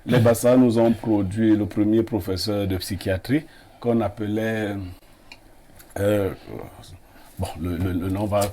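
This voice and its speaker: A man's voice: fairly low pitch, mellow, slight background noise. A man with a Cameroonian French accent, a guest on a TV studio debate, talking in a proud, expansive way and reeling off lists of names. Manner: expansive, listing names with playful pride. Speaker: panel guest.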